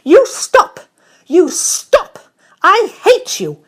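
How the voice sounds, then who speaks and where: A woman voicing a savage, bossy little girl who shouts and stamps as she scolds. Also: extremely high pitch, huge pitch swings, extremely slow, voice pushed up, exaggerated voice. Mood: furious, scolding.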